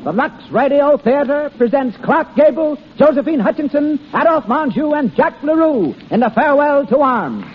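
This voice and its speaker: A man's voice: very high-pitched, animated, moderate pace, few pauses, dull audio, background noise. A man announcing a 1930s radio show in a formal, declamatory style. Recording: band-limited vintage radio with loud music. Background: music.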